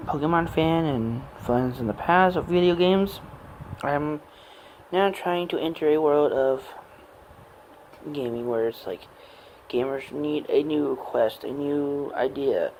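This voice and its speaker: A man in his late twenties rambling off the cuff in a personal gaming vlog, with halting, meandering and broken phrasing. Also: a little high, darkish tone, slight background noise, rough recording. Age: late 20s. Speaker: amateur gaming vlogger. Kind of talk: rambling unscripted personal monologue.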